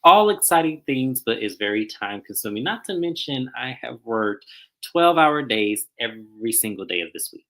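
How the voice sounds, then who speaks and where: A man's voice: mid-range voice, sing-song, moderate pace, bright, forceful tone. A man co-hosting a casual recap chat, laid-back and conversational with slangy phrasing. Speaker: co-host.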